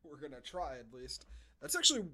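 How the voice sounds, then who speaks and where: Guy on a movie podcast, bright clear-cut voice, sarcastic and sweary, just chatting. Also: medium pitch, huge pitch swings, brisk. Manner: sarcastic, profane. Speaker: podcast co-host. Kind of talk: casual film review chat.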